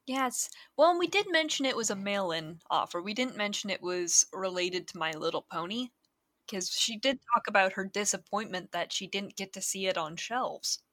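Bright-voiced woman in relaxed chat. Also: mid-range voice, moderate pace, no echo. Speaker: podcast co-host. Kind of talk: casual podcast chat.

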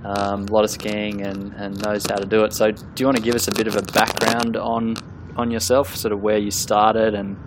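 A man interviewing a guest casually, relaxed and halting, with fillers. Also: fairly low pitch, background noise.

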